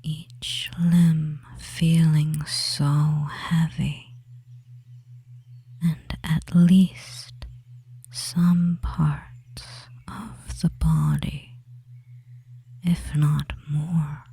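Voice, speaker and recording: Casting: performer as dominant femboy witch for hypnosis ASMR. Husky whisper, extremely slow, even delivery, soothing and possessive. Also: mid-range voice, full character voice. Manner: very slow, hushed, hypnotic, with long pauses.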